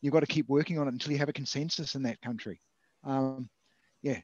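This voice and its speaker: A man's voice: medium pitch, steady tone, quick pace, dry recording. An older man moderating a video call through a headset, enthusiastic and repeating his words. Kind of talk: casual chat. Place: home room with bookshelves. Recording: dull lo-fi video call audio.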